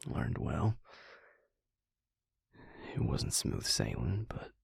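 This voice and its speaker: A man playing a brooding vampire maker in an intimate audio roleplay, speaking haltingly and reflectively in a husky, gravelly whisper. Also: big pitch swings, darkish tone, dry recording, studio quality, heavily acted. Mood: reflective, tender. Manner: halting, reflective, low-key. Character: brooding male vampire maker confiding in his mate.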